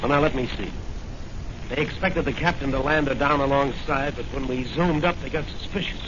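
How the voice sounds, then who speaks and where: An actor playing a brash, fearless crime-fighting hero with an American accent, clipped and commanding in 1940s radio style. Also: male voice, medium pitch, a little fast, lightly acted. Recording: noisy, muffled vintage radio recording.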